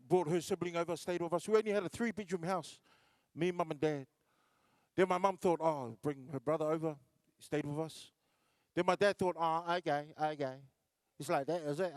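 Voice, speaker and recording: A man from New Zealand working a live stage as a standup comedian, his voice riding high and bright. He rambles through stories with plenty of fillers and false starts. The sound is close and dry, with little room around it. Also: no echo. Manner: storytelling with acted-out voices, many fillers and restarts. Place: large concert hall stage.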